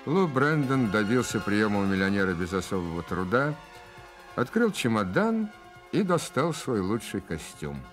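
An actor narrating a detective story as a scripted radio play. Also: male voice, fairly low pitch, big pitch swings, normal speed, faint music behind. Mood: storytelling.

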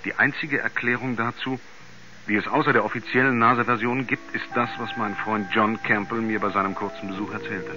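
An actor playing a sober reporter, narrating in a measured, factual documentary manner for a radio play. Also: male voice, on the low side, fairly even intonation, noisy background, lightly acted. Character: sober male reporter narrating a mysterious space incident. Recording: dull vintage radio recording, music underneath.

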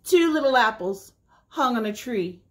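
Slow-paced woman reading stories to children. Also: fairly high pitch, lively intonation. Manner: slow, deliberate, sing-song repetition for young listeners. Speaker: children's librarian, story time host. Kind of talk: storytelling for young children, reading aloud. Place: small indoor room by a window.

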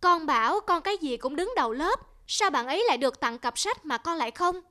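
A woman voicing a patient, gently instructive mother in a cartoon. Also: high voice, animated, fairly quick. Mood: pleased, curious. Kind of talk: cartoon dialogue and storytelling.

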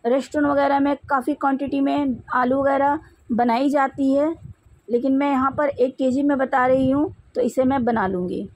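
A woman's voice: sits high, steady tone, normal speed, a little mellow, dry recording. A woman with an Indian accent narrating a recipe step by step in a methodical, instructional way. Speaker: home cook presenting a recipe. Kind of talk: step-by-step cooking tutorial narration.

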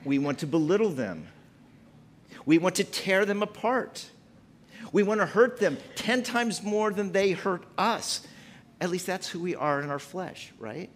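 A man's voice: lively intonation, unhurried. A man in his fifties preaching a sermon from a church pulpit, emphatic and gesturing as he speaks. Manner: preaching with wide pitch swings, emphatic and gesturing. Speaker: pastor. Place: church sanctuary at a pulpit, indoors.

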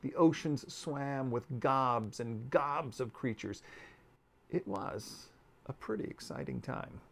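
A man in his fifties giving a dramatic storybook reading, deliberate and expressive with dramatic pauses. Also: mid-range voice, gravelly. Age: 50s. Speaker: author reading aloud. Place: book-lined room at home.

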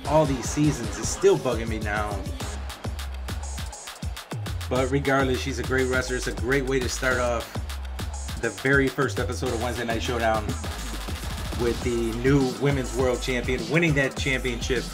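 A young man doing play-by-play commentary over a wrestling video game, chatty and casual between calls and getting excited on the big moves. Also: medium pitch, normal speed, over music. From Puerto Rican background. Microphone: home microphone, voice-over on game footage.

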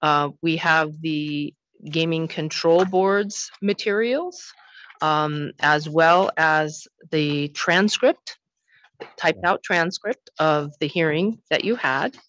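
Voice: mid-range voice, slow, fairly bright tone, no echo, dull audio. A commission chair laying out the rules of a hearing to an applicant in an explanatory, reassuring way, with many ums. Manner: explanatory, reassuring, filler-heavy. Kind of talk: formal hearing procedure.

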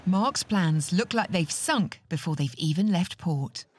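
A woman's voice: lowish voice, animated, unhurried, slight background noise. A woman giving playful, scripted narration for a reality show. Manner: playful, punning.

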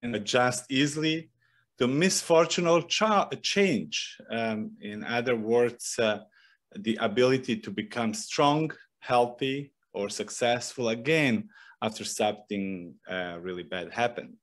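A middle-aged man with a Bosnian accent, giving an informal presentation into a desk microphone in a halting, hesitant way. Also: mid-range voice. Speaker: professor and guest speaker. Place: small indoor room. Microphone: desk broadcast microphone on a boom arm.

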